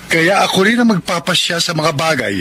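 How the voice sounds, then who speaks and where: A man's voice: on the high side, lively intonation, fairly quick, noisy background, lightly acted. A man playing a devoted, strong-willed single father in love in a radio drama, speaking earnestly and emotionally. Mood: defiant. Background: loud music.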